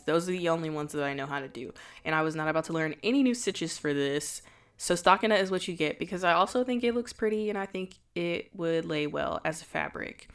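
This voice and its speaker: A woman's voice: fairly low pitch, fairly bright tone, clean tone. A woman with a nasal, congested voice from a cold, chatting playfully and poking fun at herself while vlogging about her crafting. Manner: chatty, playful and self-mocking. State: congested, stuffy-nosed from a cold. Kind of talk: casual vlog chat and voice-over.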